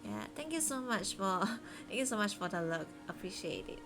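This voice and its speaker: Faint music plays behind a woman streaming a game. Her voice is mid-pitched, and she chats casually, rambling and hesitating in a giggly way. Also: big pitch swings, normal speed. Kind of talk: casual live-stream chat.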